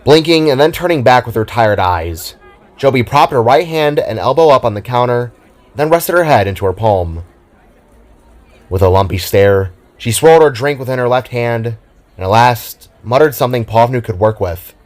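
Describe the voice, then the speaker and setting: A man's voice: medium pitch, expressive, normal speed, a little mellow. A man reading scripted fiction narration as the storyteller.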